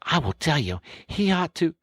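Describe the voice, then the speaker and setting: A man's voice: on the high side, sing-song, normal speed, breathy edge, no room sound, pitched above the performer's own voice. A man voicing a thoughtful, eager male water rat in a storybook reading. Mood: earnest. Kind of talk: audiobook character voice.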